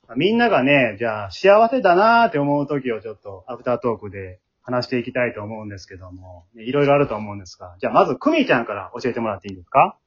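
子供たちに話を聞きながら、答えを繰り返して励ますように進行する男性の司会者。. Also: male voice, medium pitch, expressive, rapid-fire, few pauses, husky. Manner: encouraging, repeats the children's answers back. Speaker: host of an amateur drama group's aftertalk. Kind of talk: casual hosting, interviewing children. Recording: muffled, band-limited sound.

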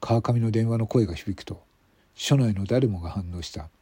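A man narrating a scripted mystery radio drama in measured, separate phrases. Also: fairly low pitch, warm tone, breathy edge, no echo.

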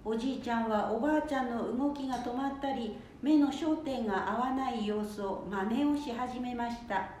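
A woman giving calm scripted narration for a radio play in a very echoey room. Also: a little high, normal speed, few pauses, a little hiss or hum.

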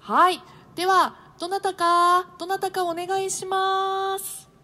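A woman's voice: very high-pitched, steady tone, unhurried, sharp tone. A woman working as a radio MC in a playful scripted show, politely reacting to and echoing her guest.

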